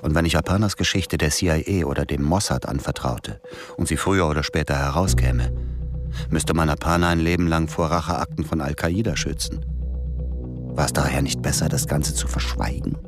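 An actor playing a brooding, tormented man who narrates a radio drama in a sombre, measured, inward way. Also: extremely low pitch, music in the background. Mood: pensive. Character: brooding adult male narrator, tormented former agent.

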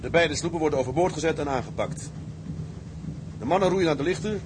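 An actor playing a level-headed, decisive tugboat captain who gives orders in a Dutch radio drama. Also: male voice, fairly high pitch, lively intonation, fairly quick, lightly acted. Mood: briefing, authoritative. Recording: clear engine and sea ambience noise.

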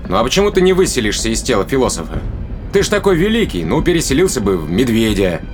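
A tough, sardonic spaceship crewman, acted by a man, in a medium-pitched voice. He interrogates in blunt everyday language with a pointed edge, as in an audio drama, over clearly audible music. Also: very animated, fast talker, natural voice. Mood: mocking. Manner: colloquial, mocking, pointed questioning.

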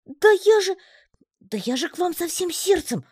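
A woman's voice: very high voice, sing-song, darkish tone, no room sound, studio quality, voice pushed up, full character voice. A woman voicing a tiny, lonely male spider who talks in a plaintive, pleading way for an audiobook. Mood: indignant. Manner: plaintive, pleading, quick to take offence. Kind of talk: audiobook reading.

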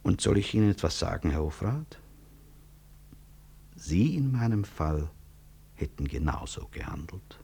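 A man's voice: low voice, big pitch swings, a little slow, creaky, long pauses, dark tone, husky, exaggerated voice. An actor playing a proud, principled middle-aged medical professor in a German radio play, formal and emphatic in his delivery. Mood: firm.

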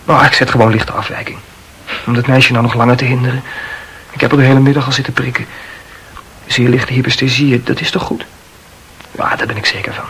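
An eager young medical student in a radio play, acted by a man, with a gravelly creak in the voice. He chats nervously and justifies himself in a conversational way, with clear noisy ambience behind him. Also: medium pitch, little pitch movement, a little fast, long pauses, fairly bright tone, close to an everyday voice. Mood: confiding, anxious. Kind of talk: radio drama acting.

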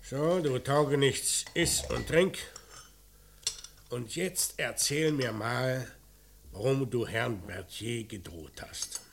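An actor playing a gruff, commanding police inspector in a radio crime drama, curt and sardonic while needling a suspect. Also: male voice, medium pitch, takes it slowly, a little hiss or hum. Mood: dry, insistent. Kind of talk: German radio play acting.

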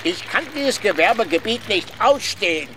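An actor playing a grumbling, mocking and cautious old pensioner in a German radio drama, recorded as an outdoor scene. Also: male voice, high voice, animated, moderate pace, sharp tone. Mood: grumpy, impatient. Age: older, retired. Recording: outdoor scene with clear traffic noise.

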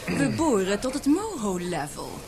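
A woman's voice: medium pitch, big pitch swings, a little slow, music in the background. A woman acting in a Dutch radio play as a haughty, prickly young scientist, curt and sarcastic in manner. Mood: haughty, challenging. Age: young adult. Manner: curt, sarcastic, crisp questioning. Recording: radio play with clear crowd murmur.

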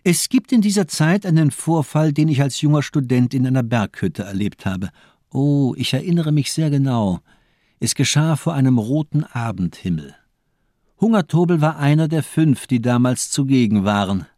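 A man's voice: mid-range voice, lively intonation, normal speed, dark tone, no room sound, studio quality, close to an everyday voice. An actor playing a murderous, pedantic doctor in a radio crime drama, precise, formal and mocking in his lecturing. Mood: amused, methodical. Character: cold, amused villain doctor, murderous and pedantic.

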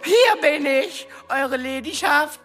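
Fawning fat little goblin-like demon, pompous n flowery, pitch jumps all over, radio play. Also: female voice, expressive, takes it slowly, fairly bright tone, faint music behind, heavily acted. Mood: fawning, proud. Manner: pompous, flowery, servile courtly address. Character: small fat warty green demon servant, fawning, cheeky, self-important. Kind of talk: radio drama acting.

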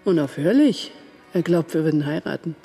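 A woman's voice: deep voice, animated, moderate pace, dark tone, husky, faint music behind, exaggerated voice. An actress playing a shrewd, worldly German woman agent in a radio crime drama, cool and matter-of-fact in manner. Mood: knowing, matter-of-fact.

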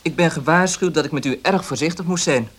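An actor in a Dutch radio play as a wary baker, speaking directly and guardedly. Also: male voice, on the high side, fairly quick, fairly bright tone. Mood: guarded, firm. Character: wary, blunt baker defending his honest father.

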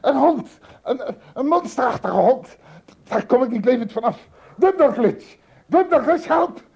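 A tiny, polite but excitable adventurer in a radio drama. His husky, creaky voice swings widely in pitch and tends to trip over repeated words. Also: a little slow, exaggerated voice. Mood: terrified, shouting. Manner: theatrical, stammers and repeats words when frightened. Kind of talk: radio play acting.

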